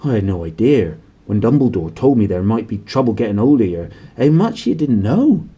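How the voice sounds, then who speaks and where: A man voicing a huge, warm-hearted but hot-tempered giant groundskeeper in a storybook, blunt and folksy. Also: lowish voice, sing-song, normal speed, slightly rough. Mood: anxious.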